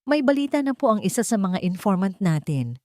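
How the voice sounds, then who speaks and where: A woman's voice: medium pitch, very animated, a little fast, mellow, no echo, studio quality, pitched above the performer's own voice, natural voice. A woman voicing a deferential male security chief reporting to his boss in an audio story. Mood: urgent report.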